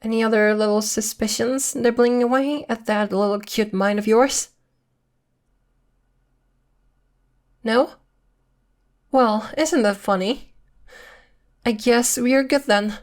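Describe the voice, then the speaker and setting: A woman's voice: a little high, measured pace, natural voice. A woman playing a possessive girlfriend who is secretly a witch, coy and sweetly menacing, in an ASMR roleplay. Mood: affectionate, possessive. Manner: coy, teasing, veiled threats in a sweet tone.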